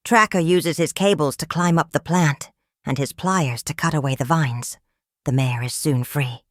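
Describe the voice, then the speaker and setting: A woman narrates a storybook for young children. Her voice sits a little low and she reads expressively. The recording is dry, with very little room sound. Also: no echo. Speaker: storybook reader. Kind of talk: scripted read-aloud narration of a children's story.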